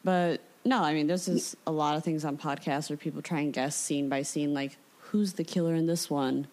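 A woman's voice: low-pitched, fairly even intonation, moderate pace, a little mellow. A woman hosting a podcast in casual chat, informal and conversational in her phrasing. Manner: informal, peppers talk with "like". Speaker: podcast host.

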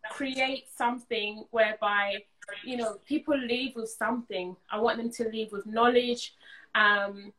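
A woman's voice: fairly high pitch, a bit bright, low-quality recording. A woman chatting casually and earnestly about her work as an event organiser, often saying "you know". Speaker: event organiser being interviewed. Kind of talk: casual chat.

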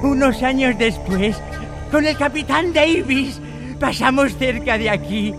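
An actor playing a wary, eager old castaway pirate in a Spanish radio drama, halting and repeating himself, with a Castilian accent. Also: male voice, very high voice, music in the background. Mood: bitter storytelling. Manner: halting, repeats words, excitable.

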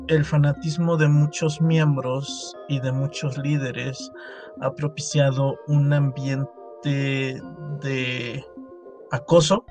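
A man in his thirties from Mexico with a Mexican Spanish accent, a guest on a podcast, speaking in a measured way. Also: a little high. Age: 30s. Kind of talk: casual podcast conversation.

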